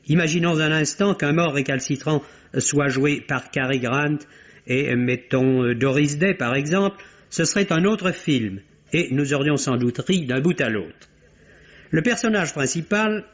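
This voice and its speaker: A man reads a film review. His delivery is measured and literary. The sound is a muffled old radio recording with limited detail. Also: fairly high pitch. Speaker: film critic.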